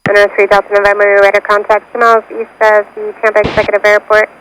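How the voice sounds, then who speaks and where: A woman's voice: medium pitch, monotone, quick pace, a bit bright. A woman working as an air traffic controller, giving clipped procedural instructions over aviation radio. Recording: noisy aircraft radio transmission. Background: radio static and aircraft cabin noise.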